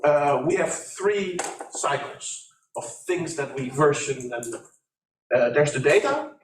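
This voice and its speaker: A man from industry speaking up from the audience in a conference discussion, forthright and practical, with a loud voice. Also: fairly high pitch, sing-song, takes it slowly, background noise. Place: conference meeting room. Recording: echoey room, distant ceiling mics.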